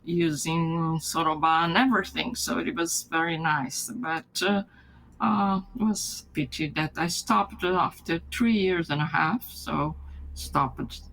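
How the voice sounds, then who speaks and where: An older woman whose first language is Japanese, from Brazil, recalling her childhood in an interview, halting and often restarting with soft laughs. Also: low voice, poor audio. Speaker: interviewee, retired mathematics professor. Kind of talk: recorded life-story interview.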